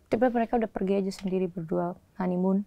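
A young woman in her twenties, a podcast guest from East Nusa Tenggara, telling a story in casual chat, animated and quick to laugh. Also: mid-range voice, creaky, dull audio. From East Nusa Tenggara, Indonesia. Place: small restaurant-style studio set, indoors.

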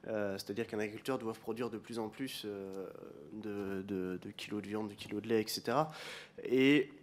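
A man's voice: lowish voice, moderate pace, a little hiss or hum. Hesitant man testifying at a hearing. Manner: hesitant, lots of fillers and restarts. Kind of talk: spoken testimony. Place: parliamentary committee room. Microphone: desk gooseneck microphone.

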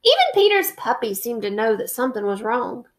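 A woman in her thirties, a teacher, reading a children's picture book aloud on a webcam at home with expressive, drawn-out emphasis. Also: fairly high pitch. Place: indoor room at home. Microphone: webcam at close range.

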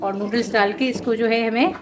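A woman's voice: fairly high pitch, steady tone, brisk, noisy background. A woman, hosting a home cooking show and explaining each step in a chatty way with many fillers. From Pakistan.

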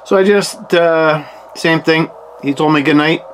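A man's voice: on the high side, unhurried, poor audio. A man giving casual commentary as an amateur radio operator demonstrating his gear. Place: indoors at a desk. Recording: clear background noise.

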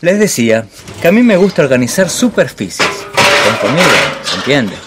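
An actor playing a pompous, bullying master chef and dreamy film snob in a radio play, theatrical. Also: male voice, mid-range voice, sing-song, normal speed, over loud music, close to an everyday voice. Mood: musing, pompous. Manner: pompous, digressive, theatrical monologuing.

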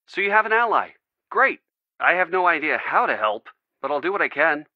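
A man's voice: a little high, very animated, fairly quick, no room sound, narrow, tinny audio. An actor playing a wry, helpful young male sidekick who gives casual advice in a video game. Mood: advising. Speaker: supporting character in a detective adventure game.

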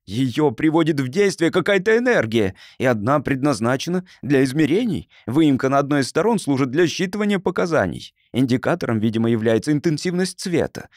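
A man's voice: mid-range voice, lively intonation, fairly quick, few pauses, dry recording, studio quality, pitched above the performer's own voice, natural voice. A man voicing an eager, inquisitive engineer neighbour who questions and explains in an audiobook story. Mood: eager, puzzled. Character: agitated, inquisitive male engineer neighbour, technically minded. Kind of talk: audiobook character acting.

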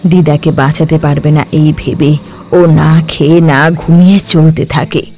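A man narrating a cartoon folk tale in a steady storytelling voice. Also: fairly high pitch, a little slow, soft background music.

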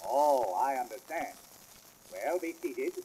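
An actor playing a polite, businesslike dentist with formal, precise phrasing in an early comic record sketch. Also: male voice, medium pitch, lively intonation, takes it slowly, warm tone, low-quality recording. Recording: hissy old 78 rpm comedy record.

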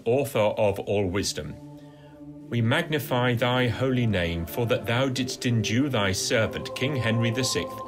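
A man leading a solemn, formal liturgical prayer as a dean. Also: fairly low pitch. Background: music.

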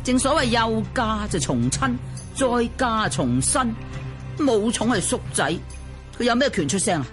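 A woman's voice: a little high, big pitch swings. An actress playing a scheming old matchmaker in a radio drama, coaxing slyly and drawing out her persuasion. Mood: coaxing, smug. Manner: sly, coaxing, drawn-out persuasion. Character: scheming old female matchmaker, cold-blooded and wheedling. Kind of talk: Cantonese radio drama acting. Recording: music behind.

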